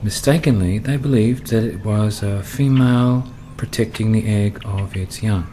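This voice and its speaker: An Australian man narrating a radio play in a brooding, measured way. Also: lowish voice, dark tone, a little hiss or hum.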